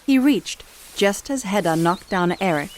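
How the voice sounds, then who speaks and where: A woman's voice: mid-range voice, lively intonation, a little slow, very noisy. A woman reading a scripted story as the narrator of an audio drama. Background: music.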